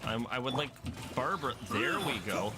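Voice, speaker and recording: A man's voice: medium pitch, expressive, normal speed. A man giving casual, joking playthrough commentary as he talks to himself while gaming. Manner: joking, self-talking. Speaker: gaming streamer. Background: game music.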